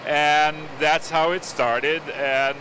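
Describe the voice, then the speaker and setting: A man's voice: a little high, steady tone, slow pace, background noise. A man from Sweden, a company CEO, speaking in an earnest, plain-spoken way during an interview. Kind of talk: casual interview talk.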